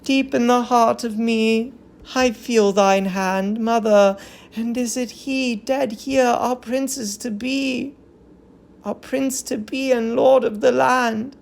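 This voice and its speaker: A woman's voice: on the high side, steady tone, takes it slowly, creaky, darkish tone, voice pushed up. A woman voicing a chorus of devoted, mournful captive women, lamenting in chanted verse in a Greek tragedy reading.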